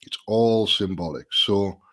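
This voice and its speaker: A man's voice: unhurried, a bit bright. A middle-aged man hosting a casual solo live stream, a little nasal and stuffy from a sinus problem, thinking aloud with restarts. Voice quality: slightly nasal, deeper than usual.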